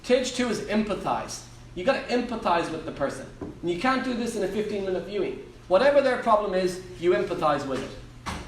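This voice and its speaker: A man's voice: high voice, very animated, a little fast. An Irish man answering questions at a live property seminar, emphatic and repeating his key phrases. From Ireland. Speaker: property trainer on a Q&A panel. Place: live event room. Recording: echoey room, distant mic, faint noise.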